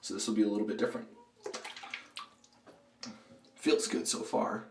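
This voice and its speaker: A man with a low, hoarse voice from a throat cold, chatting in a relaxed, rambling way with plenty of ums and uhs. He talks a bit away from the mic in a bathroom, so the sound bounces around the tiled room. Faint running water and household noise sit behind him. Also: normal speed, roomy sound, recorded from a distance. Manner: relaxed, rambling, many fillers. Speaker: shaving video host. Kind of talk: casual product review while shaving.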